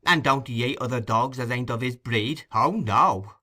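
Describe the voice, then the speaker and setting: A man's voice: mid-range voice, normal speed, sharp tone. A man voicing a cocky, streetwise young pickpocket boy in an audiobook, with swaggering, slangy talk. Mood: emphatic, slangy.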